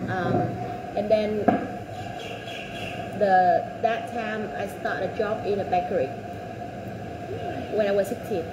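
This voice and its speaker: A woman's voice: medium pitch, fairly even intonation. Young woman from Vietnam, job interview answers, hesitant, echoey, noisy background. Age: 20s. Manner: halting, many fillers and restarts. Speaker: job applicant. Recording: echoey room, loud background noise.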